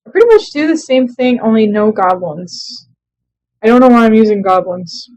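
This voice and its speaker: A young woman casually commenting on her own strategy game play, chatty and quick to laugh at herself. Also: on the high side, animated, a little mellow, no echo, poor audio. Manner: chatty, self-deprecating, laughs often. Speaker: let's play gamer.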